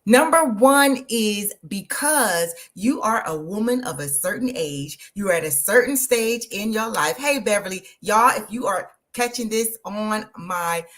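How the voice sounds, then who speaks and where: A woman, hosting an energetic, motivational live stream and talking straight to her viewers. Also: mid-range voice, measured pace, hardly any pauses. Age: 50s. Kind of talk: live social media stream.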